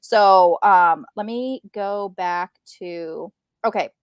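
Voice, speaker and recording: A woman's voice: lowish voice, slow, clear, resonant tone, dry recording. An American woman co-hosting a casual book chat, explaining conversationally with quoted asides. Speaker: book readalong co-host.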